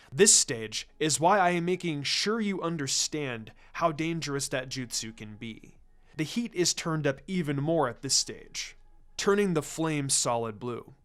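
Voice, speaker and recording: A man voicing a serious adult ninja mentor in a fan fiction reading, calm, stern and deliberate as he explains. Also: on the high side, close to an everyday voice.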